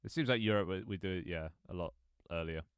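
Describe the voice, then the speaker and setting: Deep guy in his 20s rambling on a dev stream, lots of ums, smooth voice. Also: fast talker, no room sound, studio quality. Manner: rambling, lots of ums and restarts, reads chat aloud. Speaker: game developer streaming his game. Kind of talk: casual live-stream chat.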